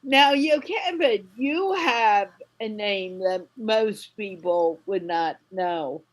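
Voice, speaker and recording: A woman from the United States hosting a friendly video call chat, asking questions at an unhurried pace. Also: medium pitch. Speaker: host.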